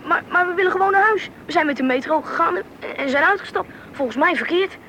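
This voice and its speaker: A woman's voice: very high-pitched, fast talker, sharp tone, a little hiss or hum, exaggerated voice. A woman playing a curious, anxious schoolboy who narrates and acts in a Dutch radio play. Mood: anxious, questioning.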